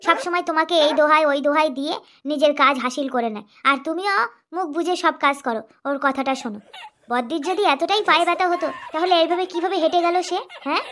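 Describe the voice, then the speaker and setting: A woman's voice: high voice, fast talker, a bit bright. A woman voicing an outspoken, cheeky youngest wife in a cartoon. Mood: scolding. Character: sharp-tongued youngest wife. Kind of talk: cartoon voice acting.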